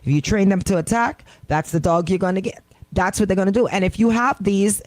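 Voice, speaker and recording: A woman's voice: medium pitch, fairly quick. A middle-aged woman with a Caribbean accent, hosting casual radio chat in a colloquial, emphatic storytelling way. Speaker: radio show host.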